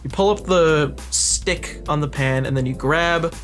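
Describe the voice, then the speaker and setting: A young man with a slightly high voice tells a casual, profane story to friends. He acts out bits of remembered dialogue, and music plays faintly behind him. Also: a little slow, fairly bright tone. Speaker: podcast storyteller. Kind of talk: casual anecdote telling among friends.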